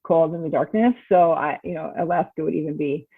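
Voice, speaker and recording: A chatty, emphatic middle-aged American woman talks casually as an interview guest. Her voice is slightly husky and fairly low, and it comes through thin and phone-like, with very little room sound. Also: steady tone, brisk, dry recording. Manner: chatty, emphatic, peppers talk with "right?" and "you know". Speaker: guest novelist. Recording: thin, narrow phone-like call audio.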